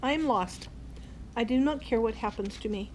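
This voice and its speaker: A woman's voice: fairly high pitch, moderate pace, slight background noise, close to an everyday voice, poor audio. An older woman voicing a lost, forlorn but grateful buffalo in a children's storybook, plaintive and sincere.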